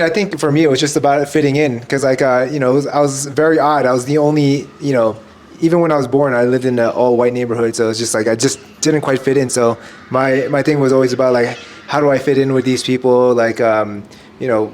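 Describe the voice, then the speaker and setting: A man's voice: mid-range voice, a little mellow, slight background noise. A man talking as a podcast guest, reflecting at length in a rambling way full of "you know". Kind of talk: casual reflective conversation.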